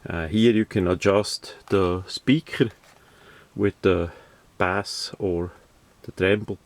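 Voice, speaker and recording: A man giving an unscripted product review and demonstration in a hesitant, halting way, often repeating words. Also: deep voice, steady tone, dry recording. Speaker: radio reviewer.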